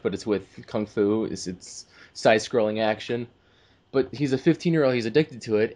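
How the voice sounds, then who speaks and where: A man with a mid-pitched voice talks as a podcast co-host. He is chatty and informal, phrasing things loosely as in casual conversation. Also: darkish tone. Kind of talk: casual film and game discussion.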